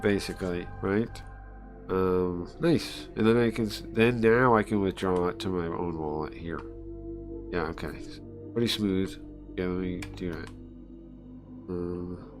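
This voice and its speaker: A man livestreaming casually, thinking aloud, muttering to himself and repeating phrases as he goes. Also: lowish voice.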